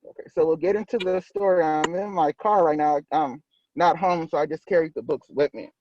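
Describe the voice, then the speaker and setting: A man's voice: fairly high pitch, fairly quick, a little mellow, no echo, lo-fi sound. A man hosting a casual story time, reading aloud and putting questions to his audience from inside a car.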